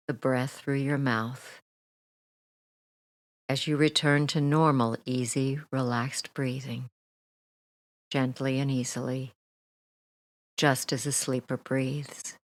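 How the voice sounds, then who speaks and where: Very slow, low-voiced woman guiding meditation. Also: fairly even intonation, no echo. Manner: soothing, gentle, deliberate with long pauses. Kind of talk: guided meditation, relaxation script.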